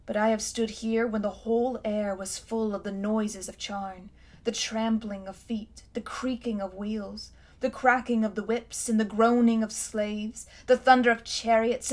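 A woman voicing a cold, imperious tyrant queen in a storybook reading, speaking loudly and grandly. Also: fairly high pitch, fairly even intonation, measured pace, close to an everyday voice. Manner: haughty, commanding, grand.

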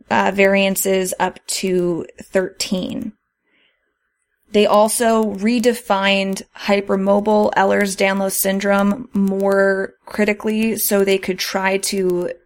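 Earnest woman hosting a podcast. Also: mid-range voice. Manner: earnest, reads terms carefully, laughs at her own slips. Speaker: podcast host. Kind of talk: reading prepared explanations.

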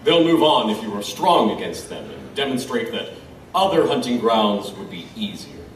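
A man's voice: mid-range voice, sing-song, normal speed, a little hiss or hum, lightly acted. A man playing a grave, wryly grim expert on monsters in an audio drama. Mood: urging. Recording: echoey, distant, faint background ambience.

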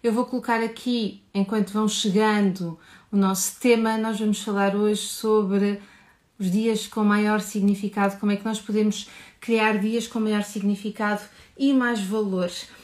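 A woman from Portugal with a European Portuguese accent opens a live stream in a warm, eager voice. Her pitch sits mid-range, and her speech races ahead before she eases off to explain things clearly. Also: level tone. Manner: warm, enthusiastic, talks fast and slows to explain. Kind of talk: animated live stream welcome.

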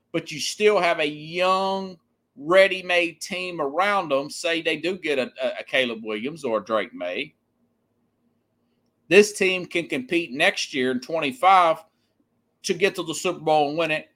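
A man's voice: on the high side, a bit bright. A man hosting a sports podcast, giving an opinionated, conversational monologue and repeating phrases for emphasis.

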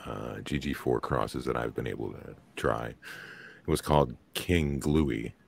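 Very deep gravelly man, laid-back. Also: measured pace. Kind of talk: casual chat. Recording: faint background noise.

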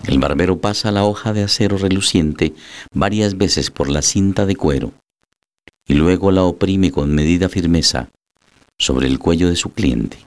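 A man's voice: low-pitched, moderate pace. A man reading scripted literary narration for an audio drama. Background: music.

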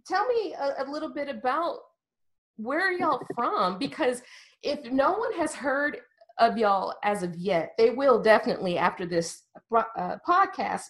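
A woman hosting a casual podcast chat. Her voice sits a little high, and she comes across upbeat and talkative, often laughing between her questions. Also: fairly bright tone. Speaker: podcast host.

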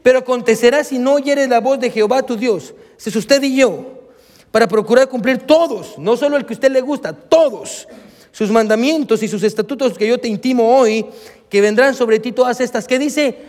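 High-pitched male preacher in a reverberant hall. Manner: emphatic, repetitive, addresses listeners directly. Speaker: pastor preaching to a congregation. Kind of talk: impassioned sermon with scripture readings.

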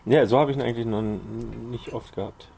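A man's voice: medium pitch, a little fast, creaky, warm tone, slight background noise. A man co-hosting a podcast, chatting casually with dry, teasing replies. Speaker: podcast co-host. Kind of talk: casual chat.

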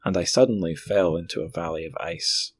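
A man's voice: sits low, fairly quick, vocal fry, slightly rough. A man hosting a podcast, speaking in conversation or reading aloud. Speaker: podcast host.

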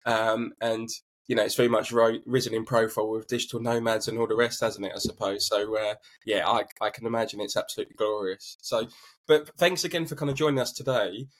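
A man's voice: lowish voice, fairly even intonation, fairly quick. A man hosting a conversational interview podcast, feeling his way through long, rambling sentences. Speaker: podcast host.

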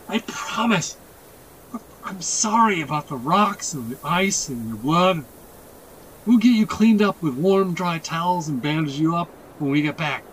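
A man's voice: on the high side, a bit bright, slight background noise, voice pushed up, rough recording. A man voicing an earnest young boy in an audiobook story, pleading and devoted, with a youthful, strained voice. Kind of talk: dramatic audiobook character reading.

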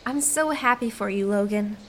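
A woman's voice: mid-range voice, normal speed, a little hiss or hum, natural voice. A woman playing a chatty, upbeat small-town woman in a radio play. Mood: pleased.

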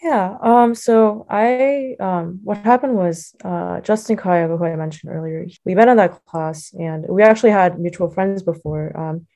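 A woman's voice: lowish voice, measured pace, few pauses, mellow. A young adult woman with an American accent, giving casual, reflective interview answers in a hesitant, loosely phrased way full of filler words. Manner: hesitant, loosely phrased, heavy use of like and you know. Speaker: interview guest, college student.

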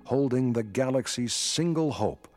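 A man giving measured, formal scripted narration as the announcer of a radio drama. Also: medium pitch, animated, darkish tone. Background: orchestral music.

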